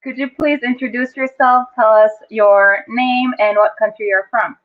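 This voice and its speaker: A woman's voice: fairly high pitch, steady tone, normal speed. A woman hosting an interview with students, upbeat with short reactions. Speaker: interview host. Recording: tinny phone-like audio.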